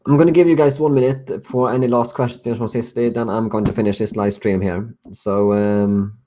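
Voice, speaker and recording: A man's voice: medium pitch, fairly even intonation, brisk, warm tone, a little hiss or hum. A young Swedish man with a Swedish accent hosting a casual live-stream Q&A, answering viewer questions and chuckling often. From Sweden. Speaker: host.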